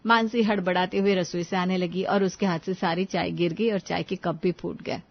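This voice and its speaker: A woman's voice: on the low side, fairly even intonation, normal speed, gravelly, dry recording, highs cut off. A woman reading a scripted family story as its narrator.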